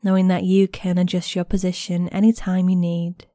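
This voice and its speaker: A woman guiding a meditation in a gentle, soothing, unhurried manner with long pauses between phrases. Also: on the low side, flat delivery, no room sound, clean studio sound. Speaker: guided meditation instructor. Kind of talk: guided body scan meditation narration.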